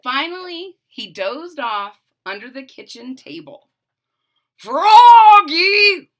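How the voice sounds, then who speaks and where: A woman's voice: fairly high pitch, very animated, a little slow, bright, forceful tone. A woman reading a children's storybook aloud, expressively, with explanatory asides to young listeners. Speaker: storybook reader.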